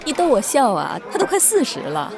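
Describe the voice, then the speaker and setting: A woman's voice: sits high, wildly swooping pitch, fairly quick, a crowd in the background, heavily acted. Chatty, meddling older mother over music. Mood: boisterous, playful. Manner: chatty, playful, nosy. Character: bubbly, boastful, meddling older mother of a grown son. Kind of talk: naturalistic drama dialogue. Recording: music behind the voice.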